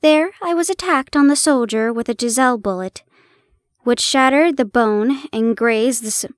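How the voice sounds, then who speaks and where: A young woman in a playful roleplay, chatting warmly to the listener and reading an old story aloud, giggling and stumbling over old place names. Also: on the high side, moderate pace, no room sound, clean studio sound. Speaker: audio roleplay performer.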